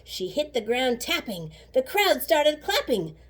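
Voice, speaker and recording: High, lively woman reading a storybook. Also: a little slow. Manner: animated, rhythmic rhyming delivery. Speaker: teacher reading to students. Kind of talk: expressive children's storybook read-aloud.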